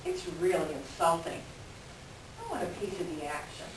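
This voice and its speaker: A woman's voice: lowish voice, very animated, moderate pace. A woman playing a scornful, hard-nosed ex-wife in a staged reading, sardonic and biting. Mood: hard, demanding. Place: echoey room, far from the mic. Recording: distant echoey room with hiss.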